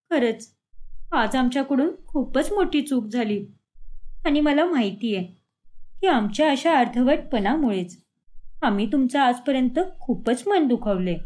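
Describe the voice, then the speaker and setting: A woman's voice: high-pitched, darkish tone. Woman as a naive, earnest young village daughter-in-law. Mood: apologetic.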